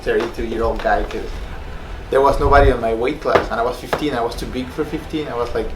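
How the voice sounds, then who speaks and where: A man's voice: medium pitch, fairly even intonation, brisk, echoey room, very noisy. A young man from Peru, a personal trainer telling his life story in a loose, rambling way, full of fillers. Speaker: personal trainer and former fighter. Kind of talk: casual personal story to camera.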